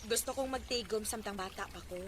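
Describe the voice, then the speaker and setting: A woman's voice: mid-range voice, brisk, lightly acted. An actress playing a hardworking, trusting young woman, fresh out of college, in a Cebuano Filipino radio drama. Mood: determined, earnest. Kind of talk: Bisaya radio drama acting. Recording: very noisy radio drama recording.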